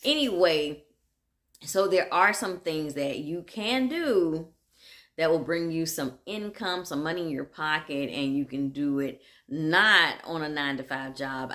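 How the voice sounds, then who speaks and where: A woman's voice: sits low, fairly bright tone. A woman talking casually and candidly, laughing often and wandering off track as she tells stories. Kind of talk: casual monologue.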